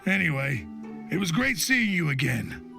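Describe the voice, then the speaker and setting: Woman putting on a very gravelly, eager old man. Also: deep voice, very animated, measured pace, pushed and bright, heavily acted. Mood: friendly. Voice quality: gravelly, creaky. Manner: hearty, familiar. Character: eager, friendly older man greeting an old acquaintance. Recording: music playing behind the voice.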